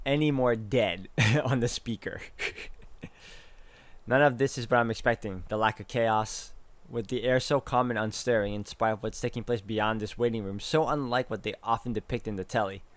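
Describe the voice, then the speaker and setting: A man's voice: medium pitch, normal speed, dry recording. A man hosting a game walkthrough, reading visual novel narration aloud and adding his own asides. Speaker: video game walkthrough host.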